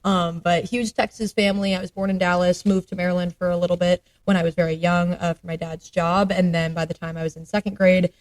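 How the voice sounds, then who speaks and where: A woman's voice: fairly low pitch, flat delivery, brisk, few pauses, low-quality recording. A woman in her late twenties from the Atlanta area, a sports journalist chatting as a podcast guest in an upbeat way with lots of ums. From Atlanta area, Georgia. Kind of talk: casual interview chat.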